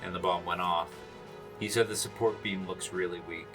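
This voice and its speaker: A man playing a tabletop roleplaying game, talking through his moves deliberately and with casual swearing, music behind him. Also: low-pitched, steady tone. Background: background music.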